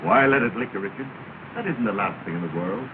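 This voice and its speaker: An actor playing a kindly wealthy gentleman who speaks in measured, courteous tones, on an old film soundtrack. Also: on the low side, animated, natural voice. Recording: tinny, hissy 1930 film soundtrack.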